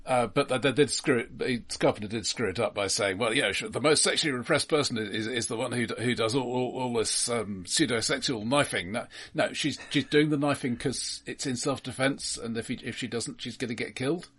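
A man co-hosting a film podcast, talking casually and analytically in a halting way. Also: medium pitch, fairly bright tone, slightly rough.

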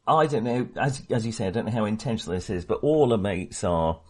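A man's voice: fairly low pitch, lively intonation, a little fast, darkish tone. A man co-hosting a film podcast in casual discussion, talking hesitantly, often interrupting himself and rambling.

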